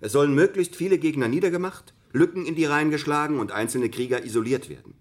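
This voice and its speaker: An actor playing a confident military analyst giving expert commentary in a radio play. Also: male voice, fairly high pitch, fast, close to an everyday voice. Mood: brisk, explanatory.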